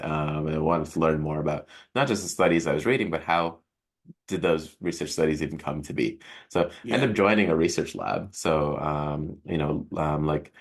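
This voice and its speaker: A man in his thirties from Jamaica, a professor answering interview questions thoughtfully, with frequent chuckles and pauses. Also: extremely low pitch. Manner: thoughtful, chuckles often, many ums. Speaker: professor guest.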